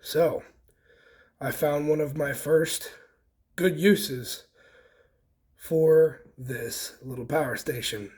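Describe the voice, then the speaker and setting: A man with a folksy, unhurried way of thinking aloud as he hosts a casual hands-on DIY demonstration. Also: on the high side, steady tone, darkish tone. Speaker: host of a homesteading DIY vlog.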